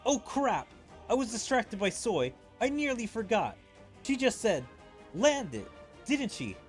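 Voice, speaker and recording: A man voicing a spirited, eager sword fighter from an anime game in an excitable, earnest manner. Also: sits high, measured pace, faint music behind, voice pushed up. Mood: fired up.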